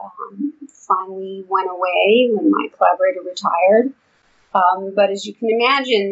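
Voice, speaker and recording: An older woman with a New York accent, explaining her research in a relaxed interview with frequent ums. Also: medium pitch, gravelly. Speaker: vestibular researcher and therapist. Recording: dull lo-fi audio.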